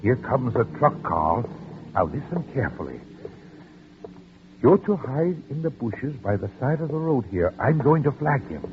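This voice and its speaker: An actor playing an anxious older father protecting his son in a 1940s radio drama, nervous, halting and pleading. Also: mid-range voice, sing-song, narrow, tinny audio. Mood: urgent. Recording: crackly noisy band-limited old radio recording.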